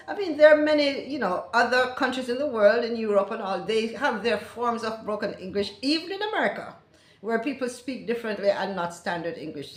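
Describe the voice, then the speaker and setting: Older Jamaican woman in echoey room. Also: on the high side. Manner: chatty, self-correcting, asides to viewers. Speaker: channel host teaching language. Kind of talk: casual explanatory lesson.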